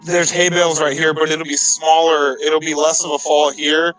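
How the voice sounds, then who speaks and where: A man's voice: fairly high pitch, level tone, moderate pace, a bit bright, soft background music, rough recording. A man chatting casually and insistently as a player in a tabletop roleplaying game.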